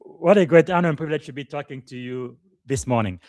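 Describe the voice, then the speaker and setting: Man, podcast host, formal introductions, frequent fillers, middle pitch, dry close sound. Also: fast talker, a little mellow, dry recording. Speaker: podcast host and leadership coach. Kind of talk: live podcast interview.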